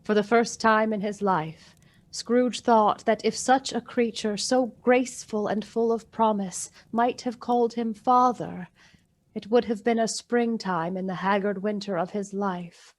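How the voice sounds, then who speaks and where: A woman's voice: medium pitch, steady tone, unhurried. A woman narrating a literary radio play in scripted storybook style.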